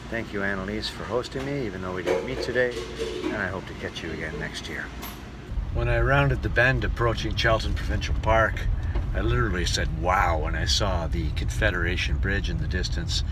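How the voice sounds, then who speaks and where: A man's voice: deep voice, a little fast, background noise. A man who works as a touring entertainer, narrating his travels in a casual vlog style. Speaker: touring musical entertainer and host. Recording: echoey room, music behind.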